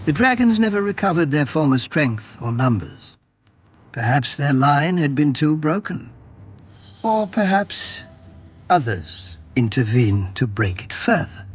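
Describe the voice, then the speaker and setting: Higher-voiced man narrating dark history. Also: very animated, slightly rough, dull audio. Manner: measured, dramatic storytelling. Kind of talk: scripted lore narration. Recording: music behind the voice.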